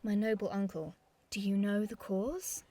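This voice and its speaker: A woman's voice: medium pitch, fairly even intonation, moderate pace, warm tone, dry recording, lightly acted. A woman playing an earnest, peacemaking young noble in a Shakespearean audio drama. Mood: concerned. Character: Benvolio, concerned peacemaking young noble kinsman.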